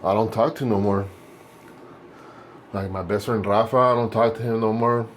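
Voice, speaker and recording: A man's voice: fairly low pitch, fairly even intonation, moderate pace, a little hiss or hum. A man in his late forties chatting casually while shaving, rambling and correcting himself as he goes. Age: 40s. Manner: rambling, self-correcting, laid-back. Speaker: vlogger.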